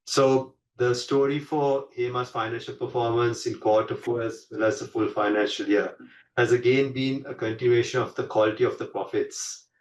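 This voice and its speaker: A man presenting on an earnings call as a company executive, explaining in a measured corporate way. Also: medium pitch, steady tone, distant mic, a little hiss or hum, low-quality recording.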